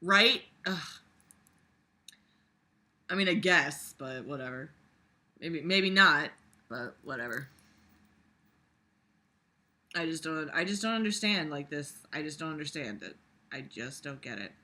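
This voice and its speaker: Bright-voiced, exasperated reader ranting about a book. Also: medium pitch, unhurried, long pauses. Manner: exasperated, sarcastic, profane; animated, breaks into mocking imitations. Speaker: host reading a book aloud and reacting to it. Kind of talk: casual reading aloud with ranting commentary.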